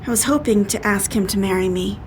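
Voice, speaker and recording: A woman's voice: mid-range voice, brisk, slight background noise, close to an everyday voice, rough recording. An actress playing a loyal, capable woman deputy in an audio drama, candid and dryly humorous. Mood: wistful. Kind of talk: full-cast audio drama dialogue.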